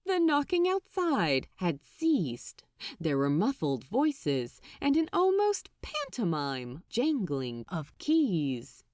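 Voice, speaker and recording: A woman reading fiction aloud as an expressive narrator. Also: medium pitch, takes it slowly, dry recording.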